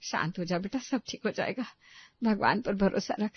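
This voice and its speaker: A woman's voice: medium pitch, animated, moderate pace, slightly rough, dry recording, lo-fi sound. A woman voicing a tender, hurt old grandmother in a storybook tale, plaintive and motherly. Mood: comforting. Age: older.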